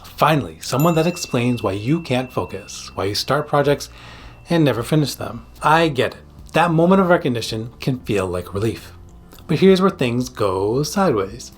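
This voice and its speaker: A man's voice: medium pitch, slightly rough. A man speaking as a psychiatrist and host, presenting a scripted educational explainer with emphatic, direct address to the listener.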